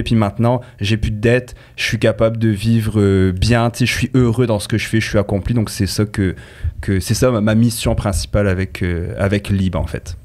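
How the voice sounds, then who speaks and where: A man's voice: fairly low pitch, darkish tone. A man in his thirties with a French accent, a guest chatting casually on a podcast. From France. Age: 30s. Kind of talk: casual chat.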